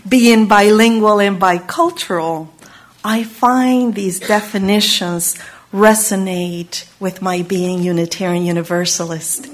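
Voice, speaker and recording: Woman speaker, unhurried and solemn, sermon delivery. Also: mid-range voice. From Chile. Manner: measured, solemn, lingering on single words. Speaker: Unitarian Universalist speaker. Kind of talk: slow reflective sermon.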